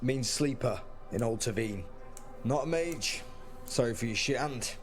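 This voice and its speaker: An actor playing a guarded, self-deprecating soldier turned clerk in a video game, with a wry, understated delivery. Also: male voice, mid-range voice, lively intonation, a little slow, a little hiss or hum, close to an everyday voice. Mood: wistful, weary.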